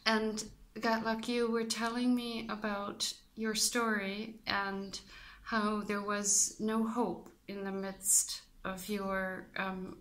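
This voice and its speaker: A woman interviewing a guest, asking questions gently and in a measured way. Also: medium pitch.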